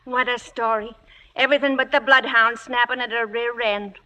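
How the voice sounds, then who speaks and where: An actress playing a blunt, sarcastic ex-vaudeville maid who talks in slang in an old radio drama. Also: female voice, a little high, fairly even intonation, normal speed, sharp tone, low-quality recording. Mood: wry. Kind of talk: 1940s-50s radio drama acting. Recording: old radio broadcast recording.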